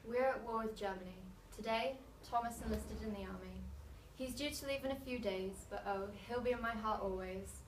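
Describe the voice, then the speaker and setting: A woman's voice: fairly high pitch, a little fast, hardly any pauses, close to an everyday voice. A woman performing a soldier's wife and munitions worker in a pointed, declamatory slam poem. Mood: loving, hopeful. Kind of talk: dramatic slam poetry recital. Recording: noisy, echoey room, mic far off.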